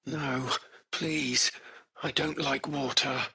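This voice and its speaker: An actor playing a polite artificial-intelligence car driver in a video game, with formal, courteous, hesitant phrasing and an anxious streak. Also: male voice, medium pitch, steady tone, extremely slow, creaky, sharp tone, husky, full character voice. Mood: frightened, overwhelmed. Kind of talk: acted video game dialogue.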